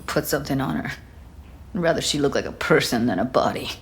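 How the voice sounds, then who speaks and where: A woman playing a grieving, foul-mouthed, defiant young techie in a video game, speaking in blunt, halting phrases. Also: a bass voice, slightly rough, full character voice. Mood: grieving, weary. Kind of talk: cinematic video game acting. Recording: music behind dialogue.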